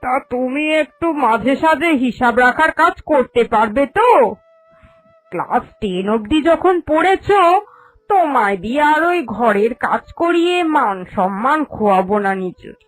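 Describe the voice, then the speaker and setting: A woman's voice: sits high, lively intonation, moderate pace, rough recording. A woman voicing a vain, greedy, bossy village moneylender in a cartoon, with a haughty and emphatic manner. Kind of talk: Bengali cartoon fairy-tale voice acting. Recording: music under the voice.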